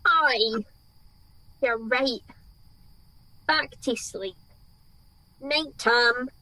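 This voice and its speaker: A grumpy, dismissive soldier in a comic radio play, acted by a woman. The voice is high-pitched and takes its time over each line. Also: drawn-out words, bright, forceful tone, full character voice, low-quality recording. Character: gruff, dismissive Scottish soldier at camp. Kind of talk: radio comedy acting.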